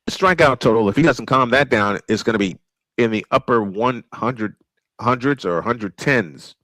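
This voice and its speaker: A man's voice: mid-range voice, normal speed, fairly bright tone, no echo, rough recording. A man calling a baseball game live in an old-school play-by-play style, with short clipped calls and chatty asides.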